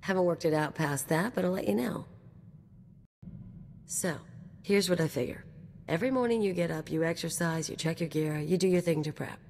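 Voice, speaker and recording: Woman as a low-voiced, cocky female mercenary. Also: moderate pace, long pauses, a little mellow, long reverb tail, a little hiss or hum, natural voice. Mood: breezy, boastful. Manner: swaggering, teasing, casual and slangy. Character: brash, cocky female anarchist mercenary companion. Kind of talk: video game voice acting.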